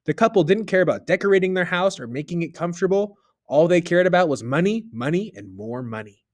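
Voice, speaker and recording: A man reading a children's story aloud, expressive, dipping low and quiet on the narration tags. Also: fast.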